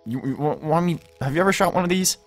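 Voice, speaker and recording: A man playing a cautious, protective armed survivor in a Minecraft zombie roleplay, talking informally and haltingly with repeated words. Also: a little high, lively intonation, faint music behind. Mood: cautious instructing. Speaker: roleplay player. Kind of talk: improvised roleplay acting in a Minecraft series.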